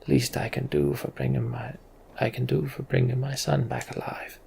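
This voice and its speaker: A man voicing a gruff, proud and weary old human king in a storybook fantasy game. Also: mid-range voice, big pitch swings, normal speed, a little mellow, slight background noise. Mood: weary, grateful.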